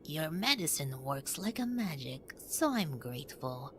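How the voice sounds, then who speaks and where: A woman voicing a polite, grateful young female character, gentle and earnest, with music behind. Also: low-pitched, very animated, a little slow, slight background noise. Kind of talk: dramatic character voice acting.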